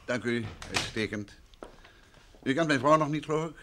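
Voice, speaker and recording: An actor playing a composed, probing detective husband in an old Dutch radio drama, speaking with polite, measured questions. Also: male voice, medium pitch, pushed and bright, a little hiss or hum, lightly acted. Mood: courteous, probing.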